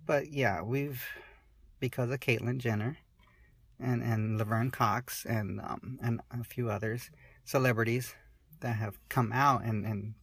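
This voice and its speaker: A woman in her forties speaking candidly in an interview, halting and soft-spoken, often restarting her sentences. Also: a bass voice, steady tone, slightly rough, no echo. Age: 40s.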